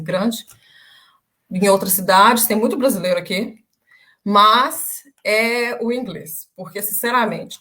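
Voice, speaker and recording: Young brazilian woman on a video call, mid-pitched voice, chatty storyteller, lots of little "é" pauses. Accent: Brazilian Portuguese. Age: young adult. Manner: lively storytelling with many filler pauses. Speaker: interviewee, former language student. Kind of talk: casual interview chat.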